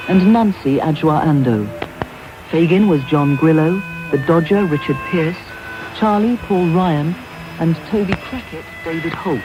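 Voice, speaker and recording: A man reading scripted credits and continuity as a radio announcer. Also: on the high side. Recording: dull medium-wave radio recording. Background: music.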